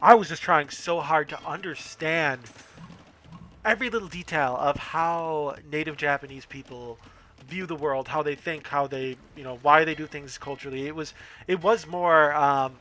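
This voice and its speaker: An eager young man chats while gaming, often tripping over his first words. His voice is bright and sits a little high. He leans in keenly whenever he agrees. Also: normal speed. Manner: eager, stammering repeats. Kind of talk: casual chat while gaming.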